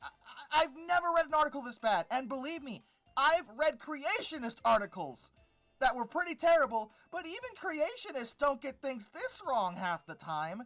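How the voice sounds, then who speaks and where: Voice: sits high, very animated, moderate pace, a bit bright, faint music behind. A video host giving informal critique commentary in an exasperated, sarcastic and dismissive way. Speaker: host of a paleontology commentary channel.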